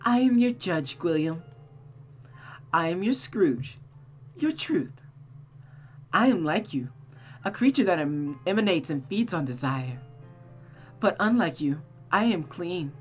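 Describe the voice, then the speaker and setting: A woman's voice: on the low side, expressive, slow pace, long pauses, darkish tone, soft background music. A woman voicing a seductive, taunting, unhinged female creature of vengeance and desire from a visual novel, in a mocking, cooing, theatrical manner. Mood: exalted, possessive. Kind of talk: visual novel character read-aloud.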